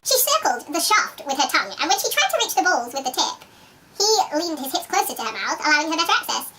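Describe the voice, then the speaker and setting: A woman's voice: sits high, sing-song, quick pace. A woman giving a theatrical, exaggerated dramatic reading of romance fiction. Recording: distant mic, faint background noise.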